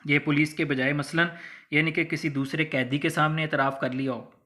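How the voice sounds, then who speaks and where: Bright-voiced Pakistani man giving a lecture. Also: a little high, flat delivery, normal speed. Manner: didactic, conversational, acts out hypothetical examples. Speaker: law lecturer. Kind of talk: explanatory lecture with English legal terms mixed in.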